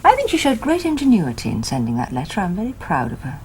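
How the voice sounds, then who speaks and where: A woman's voice: lowish voice, huge pitch swings, quick pace, darkish tone. A fretful middle-aged wife speaks earnestly in an old sitcom. Her voice moves up and down with plenty of life. Light background noise sits under the dialogue. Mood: musing. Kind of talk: 1980s British sitcom acting.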